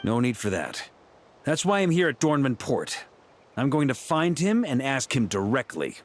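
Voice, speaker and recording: A man's voice: medium pitch, big pitch swings, moderate pace, a little hiss or hum, close to an everyday voice. An actor playing a dutiful, composed male knight commander in a video game, speaking formally and earnestly. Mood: resolute.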